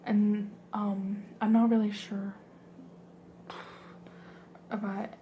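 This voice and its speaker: A young woman whispering softly, rambling through a personal story with lots of fillers. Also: mid-range voice, level tone, extremely slow, narrow, tinny audio, a little hiss or hum.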